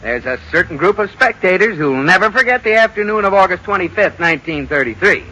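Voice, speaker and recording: Casting: boastful young husband, high-pitched, very bright forceful voice, excitable, mock-grand, vintage radio sitcom. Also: male voice, fast, heavily acted, poor audio. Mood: proud, nostalgic. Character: George, boyish, boastful husband and bank employee. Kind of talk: 1940s radio comedy acting.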